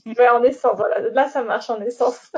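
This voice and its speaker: A woman's voice: fairly high pitch, steady tone, brisk, crowd noise. Chatty woman host on a dull recording. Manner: chatty, giggly, many fillers. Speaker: podcast host. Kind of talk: casual conversational interview. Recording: dull lo-fi sound.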